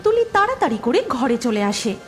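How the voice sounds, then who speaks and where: A woman's voice: high-pitched, sing-song, fairly quick. A woman narrating a scripted cartoon story. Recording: music behind the voice.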